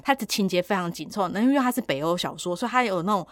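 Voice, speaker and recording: A woman with a Taiwanese Mandarin accent chatting casually as a podcast host, telling stories in an animated, expressive way with repetition for emphasis. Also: medium pitch, rapid-fire, no room sound, clean studio sound. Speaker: podcast host who works in audiobook production. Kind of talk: casual chat, storytelling.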